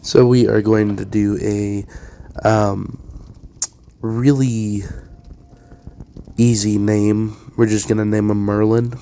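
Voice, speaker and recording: A man casually commenting on a game as he plays, hesitant and full of fillers, his voice raspy and stuffed up. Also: lowish voice, little pitch movement, takes it slowly, long pauses, warm tone. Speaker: let's play gamer.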